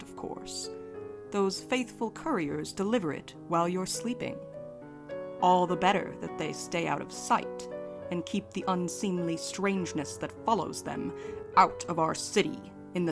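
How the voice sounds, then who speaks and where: A woman playing a formal, conspiratorial narrator in a scripted audio drama trailer. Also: on the low side. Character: sly, formal female narrator addressing a citizen. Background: plucked guitar music.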